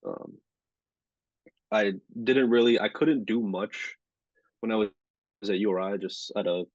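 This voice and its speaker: A man in his twenties from Rhode Island, a sports reporter chatting casually as a podcast guest, talking in a monotone with lots of fillers. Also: fairly low pitch, measured pace, no room sound, highs cut off. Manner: monotone delivery, filler-heavy. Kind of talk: casual interview chat.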